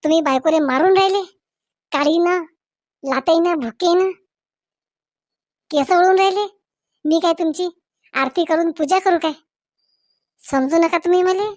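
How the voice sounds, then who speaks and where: A woman's voice: very high-pitched, unhurried, pauses often, darkish tone, dry recording, studio quality. A woman voicing a combative, scolding village wife and mother-in-law in a comedy cartoon, with a Varhadi Marathi accent and melodramatic, exclamatory delivery. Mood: furious, defiant. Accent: Varhadi Marathi from Vidarbha.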